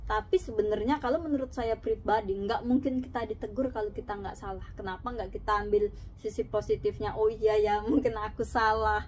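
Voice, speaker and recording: A woman's voice: medium pitch, fairly even intonation, brisk, a little mellow. Earnest woman chatting with a laugh. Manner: earnest, laughs often, mixes English words. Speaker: domestic worker sharing advice. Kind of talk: casual advice chat. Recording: noticeable background noise.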